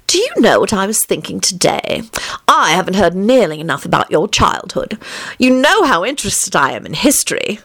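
A woman voicing a sensible, exasperated mother who talks briskly. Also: mid-range voice, big pitch swings, vocal fry, fairly bright tone, exaggerated voice. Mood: firm.

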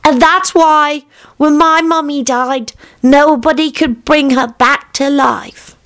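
Woman as an anxious, very high-voiced small child. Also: fairly even intonation, takes it slowly, a bit bright, exaggerated voice. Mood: earnest. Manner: wide-eyed, plaintive exclamations. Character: earnest, anxious small child listening to a bedtime story.

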